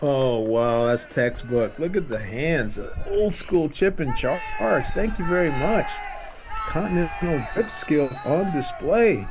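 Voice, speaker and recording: A man calling a college tennis match live, calm in his analysis and lively when a big shot is played. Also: fairly high pitch, takes it slowly. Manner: excited bursts on big shots, calm analysis between points. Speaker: tennis commentator. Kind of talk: live sports commentary. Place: outdoor tennis venue.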